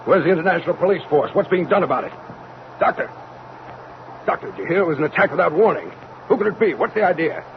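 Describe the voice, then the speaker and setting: A hot-headed army major in an old radio space drama. He barks impatient demands in a high, gravelly voice that swings with agitation. The recording is muffled and noisy. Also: animated, fast, long pauses. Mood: agitated, demanding.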